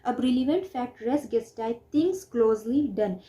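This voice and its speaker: A woman's voice: fairly high pitch, moderate pace, warm tone. A woman lecturing in an explanatory way, posing questions and answering them herself, repeating key phrases. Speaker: law lecturer. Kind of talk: explanatory lecture with simple examples.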